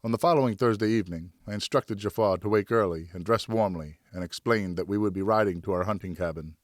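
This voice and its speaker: A man narrating a historical novel in the first person, with expressive, deliberate storytelling. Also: on the low side, dry recording, studio quality. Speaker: audiobook narrator, professional voice actor.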